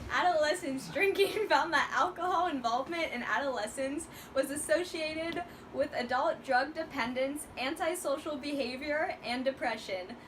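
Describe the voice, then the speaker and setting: Young female student presenter, reads her script earnestly, a bit high-pitched. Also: a bit bright, a little hiss or hum. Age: young adult. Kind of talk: reading a class presentation.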